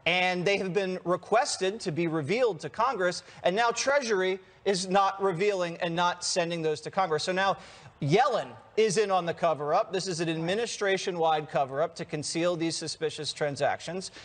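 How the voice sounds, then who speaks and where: A man's voice: sits high, normal speed, fairly bright tone. An American man giving opinionated political commentary on a TV panel show, with a sardonic way of talking.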